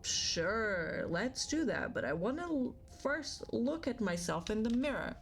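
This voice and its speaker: A woman's voice: on the high side, sing-song, unhurried, gravelly, faint music behind. A woman streaming a game, playful and teasing, reading her choices aloud as she plays. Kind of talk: casual game commentary.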